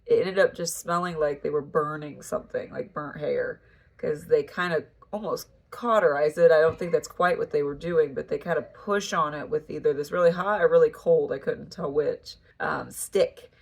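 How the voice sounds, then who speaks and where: A woman's voice: deep voice, normal speed, vocal fry, slightly rough. A woman talking casually in a vlog update, tired and very congested, her voice nasal like a bad cold. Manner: tired, relaxed recovery chat. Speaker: farm vlogger.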